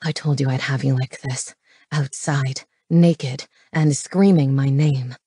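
Possessive, tender romance hero, played by a woman: pitched well down, breathy growl, audiobook intimacy. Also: fairly even intonation, slow, mellow, no room sound, studio quality, heavily acted. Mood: commanding, passionate. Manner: low, breathy, growling delivery. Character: possessive, tender male lover in paranormal romance.

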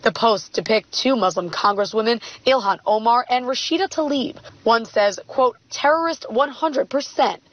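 A woman's voice: on the high side, normal speed, hardly any pauses, a bit bright, dry recording. A woman reading a scripted television news report, in dull archive audio.

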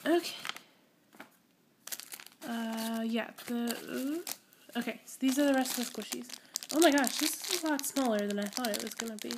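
A woman's voice: on the high side, normal speed, long pauses, noisy background. Giggly young woman with a stuffy cold. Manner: rambling, giggly, gushing over small items. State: congested with a cold. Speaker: squishy collector vlogger. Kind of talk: casual unboxing chat. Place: indoors at home, camera pointed at a table.

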